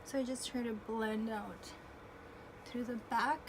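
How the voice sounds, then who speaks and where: Slow-talking young woman on a phone mic. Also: a little high, fairly even intonation. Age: 20s. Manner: chatty, self-deprecating, frequent throat clearing. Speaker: beauty vlogger. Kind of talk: casual makeup tutorial chat. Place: home room with air conditioner. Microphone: phone camera. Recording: noisy home phone recording.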